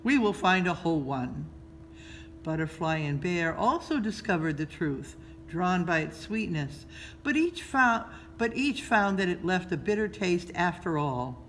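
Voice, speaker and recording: A woman reads a children's story aloud in a measured, expressive storytelling style, pausing between phrases. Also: on the low side, poor audio. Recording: noticeable background noise.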